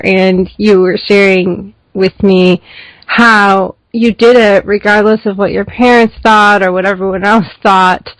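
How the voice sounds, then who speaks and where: A woman hosts an interview podcast, speaking in a measured, thoughtful way. Her voice sits at a middle pitch and sounds dry and close, with no room around it. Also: no echo, low-quality recording. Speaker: podcast host.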